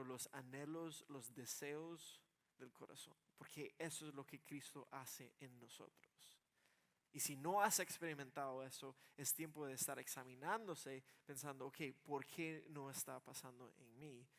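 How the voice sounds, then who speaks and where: High-pitched male preacher, emphatic and halting. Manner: emphatic, swings between hushed reflection and insistent delivery. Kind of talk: expository sermon, explaining scripture in plain conversational words.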